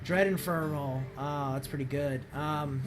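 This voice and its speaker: A hoarse man with a voice that is not deep, commenting on his card game as he plays and thinking aloud with many ums and restarts. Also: fairly even intonation, unhurried, music in the background. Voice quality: voice not very deep, sounds high-pitched. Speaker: streamer playing a card game. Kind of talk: casual gameplay commentary.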